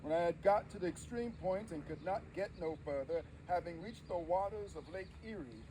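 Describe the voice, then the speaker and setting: A man playing a frail, devout old formerly enslaved preacher in solemn, halting theatrical oratory before an audience. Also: sits high, animated, recorded from a distance, noisy background. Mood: anguished, fervent. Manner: solemn, formal, halting oratory with dramatic swells. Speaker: live interpreter. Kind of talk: theatrical first-person historical oration.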